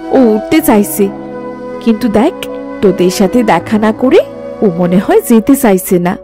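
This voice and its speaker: A woman voicing a gentle, consoling village mother in a cartoon moral story, soothing and earnest. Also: medium pitch, animated, measured pace, music in the background, natural voice.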